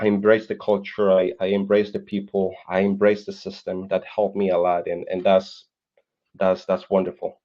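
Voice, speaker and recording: A man from Colombia with a Colombian Spanish accent, in his thirties, earnestly telling his life story as a podcast guest and often repeating words while he searches for them. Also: on the low side. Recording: dull lo-fi sound.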